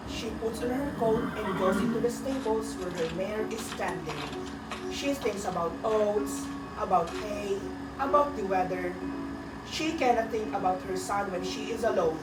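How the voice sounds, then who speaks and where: A woman narrating a radio drama in a deliberate, solemn storytelling manner, reading a dramatic script. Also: medium pitch, loud music behind the voice, lightly acted. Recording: echoey, distant, very noisy.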